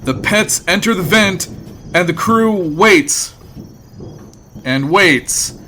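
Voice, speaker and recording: A man's voice: high-pitched, lively intonation, slow pace, sharp tone, a little hiss or hum. A male narrator reading dramatic, comic scripted narration for a sci-fi audio drama.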